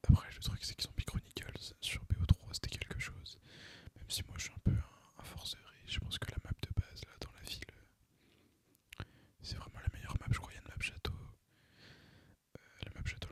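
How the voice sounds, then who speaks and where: Intensely whispering young Frenchman. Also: a little fast. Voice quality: whispered, unvoiced. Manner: relaxed, slangy, hesitant. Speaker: ASMR gaming creator. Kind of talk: ASMR, casual rambling chat over gameplay.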